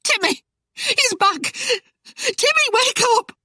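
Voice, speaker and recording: Shrill, hysterical village wife. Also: female voice, expressive, drawn-out words, sharp tone, no room sound, clean studio sound, heavily acted. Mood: blubbering terror. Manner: blubbering, shrieking, rustic dialect.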